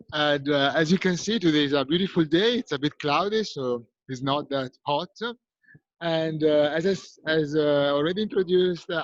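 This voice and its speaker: An Italian-accented man opens a virtual tour of his city, friendly and a little halting, with uh between phrases. The audio is dry and muffled, as from a laptop call. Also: on the high side, no echo. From Venice, Italy. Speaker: tour guide. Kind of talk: live virtual tour introduction. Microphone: video call.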